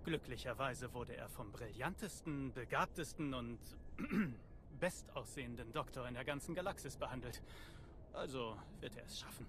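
Cocky male doctor for a game, actor-voiced: mid-pitched, smug, clinical, noisy ambience behind. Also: lively intonation, moderate pace, natural voice. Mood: clinical, boastful. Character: cocky, self-admiring male military doctor. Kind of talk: dramatic game cutscene acting.

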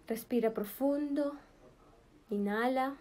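A woman leading a guided meditation in a soothing, gentle manner, speaking in short, spaced phrases. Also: high voice, slow pace. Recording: background music with flute. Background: meditation music with flute.

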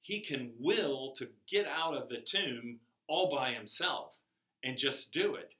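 An older man giving a chapel sermon to children in a classroom, speaking slowly and emphatically, his voice rising on key words. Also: medium pitch, lively intonation, sharp tone. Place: classroom with a whiteboard, indoors. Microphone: camera across the room. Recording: echoey classroom from far off.

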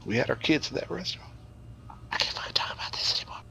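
A man's voice: medium pitch, animated, quick pace, vocal fry, pushed and bright, in a whisper. A man improvising a crude comic story in a rambling, profane, theatrical way.

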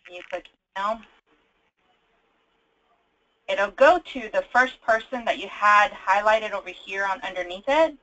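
A woman on a conference call casually explaining a software problem as a trainee, informal and a little hesitant. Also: mid-range voice, unhurried, pauses often, low-quality recording. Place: conference call with screen share.

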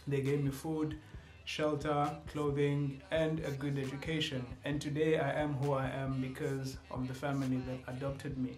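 A man's voice: medium pitch, flat delivery, normal speed, a little mellow, roomy sound, faint music behind, low-quality recording. A young man with a South African accent, casually introducing himself on his video channel with playful asides. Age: young adult. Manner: playful, joking asides, switches into other languages. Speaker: YouTuber. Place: small indoor room with shelves. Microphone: camera at close range.

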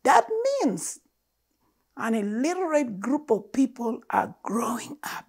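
An older woman from Uganda, telling her story to an audience in a living room, slow and earnest with emphatic stresses. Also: fairly high pitch, lively intonation, husky. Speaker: storyteller speaker. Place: living room with stone fireplace, indoors. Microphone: lapel mic.

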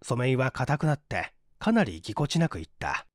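Expressive, measured audiobook narrator. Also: mid-range voice, no room sound, studio quality.

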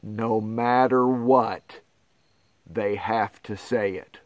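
A man's voice: lowish voice, fairly even intonation, extremely slow, no room sound. A middle-aged man reading a picture book aloud to children with theatrical, exaggerated dismay and astonishment. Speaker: pastor reading to schoolchildren.

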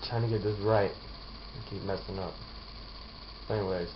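Young man, worn out and yawning, low voice, drowsy home webcam vlog, dull hissy audio. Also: fairly even intonation, measured pace. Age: young adult. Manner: drowsy, mumbling, trailing off. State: tired, yawning. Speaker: vlogger. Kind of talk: casual vlog with rapped verses. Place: small bedroom, lying down. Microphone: webcam held close overhead.

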